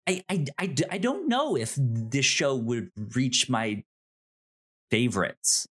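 A man co-hosting a podcast in casual chat, halting and repeating words as he thinks. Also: medium pitch, very animated, a bit bright, breathy edge. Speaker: podcast co-host. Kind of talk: casual chat reviewing a TV show.

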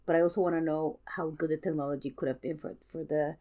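A woman's voice: low voice, fairly even intonation, quick pace, warm tone. A young woman chatting casually as a podcast guest, gushing and playful, with lots of slang. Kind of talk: casual chat.

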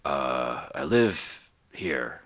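A man's voice: extremely low pitch, very animated, extremely slow, a bit bright, voice pushed down, exaggerated voice. A man doing an eager farmer brother. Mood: friendly. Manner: eager, stammering, good-natured. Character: friendly farmer brother, earnest and folksy.

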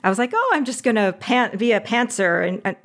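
A woman's voice: a little high, fairly quick. Chatty woman laughing as she talks. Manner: animated, chatty, laughs often. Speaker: podcast guest, audio drama producer. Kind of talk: casual interview chat.

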